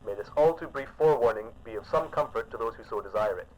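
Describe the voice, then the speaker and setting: A man's voice: medium pitch, fast talker, lightly acted, poor audio. An actor playing an anxious, pious male professor in a radio drama, speaking earnestly and formally as doom nears. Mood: grave, solemn.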